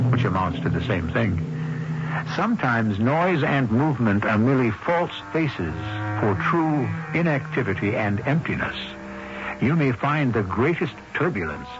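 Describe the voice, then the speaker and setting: A man hosting a radio mystery, narrating in a measured, literary, rhetorical style. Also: medium pitch, noisy background. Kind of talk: dramatic scripted narration, old-time radio. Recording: muffled old radio broadcast with music.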